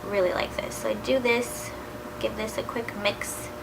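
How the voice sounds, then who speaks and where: A woman in her thirties talks through a makeup tutorial at home. Her voice is gravelly and a little nasal from a cold. Her talk is casual and chatty, now and then dropping to a soft near-whisper, and there is steady noise behind her. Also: a little high, a little slow. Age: 30s. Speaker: beauty vlogger.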